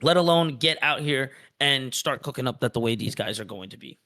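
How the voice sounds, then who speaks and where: Bright-voiced upbeat esports host. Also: male voice, medium pitch, animated, fairly quick. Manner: upbeat, repeats words for emphasis. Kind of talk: casual live-stream esports chat.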